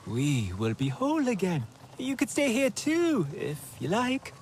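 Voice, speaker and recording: Excitable alien healer, highish voice, slow halting delivery, theatrical, fretful, game character. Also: male voice, slight background noise, full character voice. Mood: wistful, hopeful. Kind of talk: video game acting.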